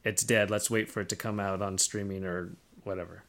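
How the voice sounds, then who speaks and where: A man co-hosting a podcast, talking casually and analytically, pausing as he works through his thoughts. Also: low voice, steady tone, a bit bright. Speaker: podcast co-host. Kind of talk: casual discussion of film trends.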